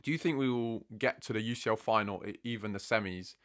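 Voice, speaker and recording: Young man in his twenties from England, low voice, loose and conversational, slangy football-fan Q&A. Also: quick pace. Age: 20s. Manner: relaxed, chatty, says "bro" often. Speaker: football content creator. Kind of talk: casual Q&A chat.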